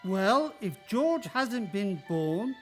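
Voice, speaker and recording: A woman's voice: mid-range voice, very animated, extremely slow, faint music behind. A woman playing a stern, authoritative senior angel who questions and admonishes in a radio play. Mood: stern, reproving. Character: stern heavenly superior angel, questioning and scolding.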